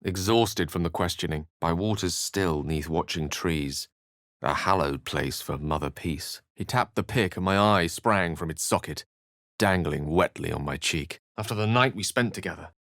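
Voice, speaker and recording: An actor playing an eloquent, wry wizard companion in a fantasy video game, with articulate, theatrical phrasing. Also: male voice, low-pitched, normal speed, fairly bright tone, dry recording, clean studio sound. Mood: grave narration. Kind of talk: fantasy game dialogue and narration.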